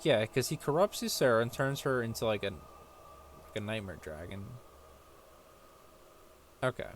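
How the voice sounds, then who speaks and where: A man's voice: on the low side, sing-song, a little slow, slight background noise. A man giving casual, rambling, sweary gameplay commentary, sometimes reading game text aloud. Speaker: gaming streamer playing through a story quest. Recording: loud game music behind. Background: game music.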